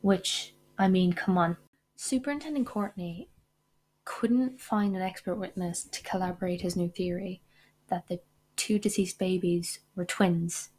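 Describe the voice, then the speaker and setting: A woman's voice: fairly low pitch, a little mellow, husky. A young woman narrating a true-crime podcast from a script, breaking off into indignant asides. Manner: indignant asides between read facts. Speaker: podcast host.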